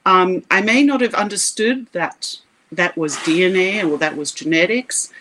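A middle-aged woman with a South African accent talks as a guest scientist in a podcast interview. Her voice is bright and clear-edged. She speaks earnestly, with emphatic, passionate conviction. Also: fairly low pitch, moderate pace, a little hiss or hum, poor audio.

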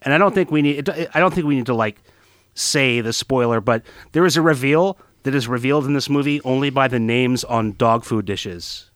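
A man's voice: medium pitch, moderate pace, fairly bright tone, smooth, no echo. Brief: male podcast co-host, thoughtful and easygoing, dry close mic. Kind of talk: casual film discussion.

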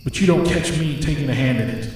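Guy doing death as a scared little old lady in a folk tale, whispery and then shrieking high. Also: fast talker, darkish tone, very echoey, a little hiss or hum, natural voice, low-quality recording. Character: frightened tiny old woman, Death.

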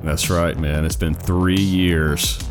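A man of forty with a very low voice, talking loosely on his gaming podcast. He rambles in an easygoing way and keeps saying "man". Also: fairly even intonation, takes it slowly, music in the background. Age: 40s. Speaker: podcast co-host. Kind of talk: casual podcast chat.

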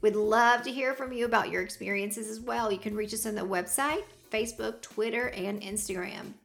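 Gravelly-voiced woman podcast host. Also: medium pitch, normal speed, a bit bright. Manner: chatty, laughs often. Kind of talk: casual chat.

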